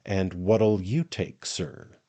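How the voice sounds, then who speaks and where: A man's voice: on the low side, measured pace, darkish tone, pitched above the performer's own voice, close to an everyday voice. A man voicing a grave, droll senior coachman with a Cockney accent in a comic storybook. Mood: dryly facetious. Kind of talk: dramatised audiobook reading of a comic Victorian novel.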